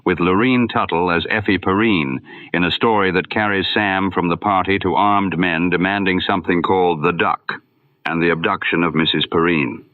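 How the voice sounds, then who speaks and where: Low-pitched man announcing. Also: steady tone, moderate pace, dry recording, narrow, tinny audio. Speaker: announcer introducing an archive radio episode. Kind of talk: scripted narration.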